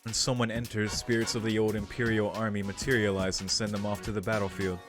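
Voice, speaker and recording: Male game streamer, lowish voice, casual reading and commentary, soft music behind. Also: little pitch movement, fast talker.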